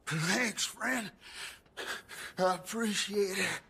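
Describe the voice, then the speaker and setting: An actor playing a badly wounded, frightened man in a western video game, weak and in pain, halting as he speaks. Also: high-pitched, breathy edge, exaggerated voice. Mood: weak, grateful. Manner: halting, gasping pleas. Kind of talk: video game drama acting. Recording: loud game ambience, horse hooves.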